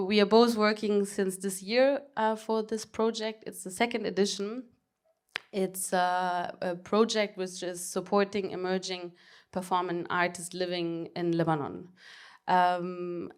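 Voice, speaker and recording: A woman's voice: lowish voice, smooth. A young woman from Germany speaking English with a German accent as a panel speaker, hesitant and full of fillers and restarts. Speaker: panel speaker, arts project co-manager. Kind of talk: panel talk.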